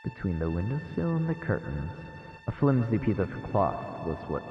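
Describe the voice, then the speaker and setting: Male visual novel streamer-narrator, lowish pitch, expressive delivery, muffled band, reverberant, game music behind. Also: normal speed. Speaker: livestreamer playing a visual novel. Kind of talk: reading game text aloud with spoken reactions.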